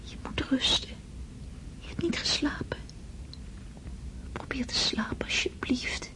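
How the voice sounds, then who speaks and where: An actress playing a gentle, devoted woman soothing her dying beloved in a radio drama, soft and breathy. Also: a little slow, natural voice. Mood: tender, soothing. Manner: soft, breathy, tender whispering. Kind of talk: Dutch radio drama acting.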